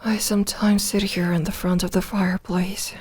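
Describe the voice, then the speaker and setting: A woman playing a lonely, lovelorn vampire in an intimate ASMR audio roleplay, gentle and wry, speaking softly with hesitant pauses. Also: mid-range voice, level tone, breathy edge, natural voice. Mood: wistful, sorrowful. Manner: hesitant, soft-spoken, slow with pauses.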